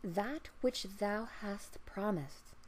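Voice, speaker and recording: A woman's voice: mid-range voice, slow pace. A woman voicing a stern, commanding storybook king with solemn, emphatic delivery. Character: stern, commanding old-fashioned fairy-tale king, a father.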